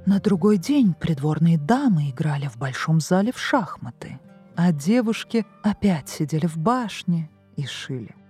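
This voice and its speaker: A woman narrates a scripted fairy tale as a storyteller, in an expressive, measured way, with music behind her. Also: low-pitched. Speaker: storyteller, voice artist. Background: background music.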